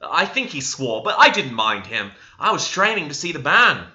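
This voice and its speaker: A man voicing an excitable young servant girl who chatters rapturously in a storybook reading. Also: a little high, normal speed, muffled recording, exaggerated voice. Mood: rapturous, excited.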